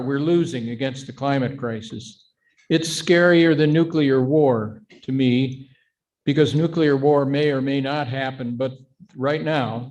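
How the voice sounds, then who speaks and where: A mature man speaks to a congregation at a medium pitch. His delivery is earnest and measured, explaining things informally like a guest speaker at a service. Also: a little mellow.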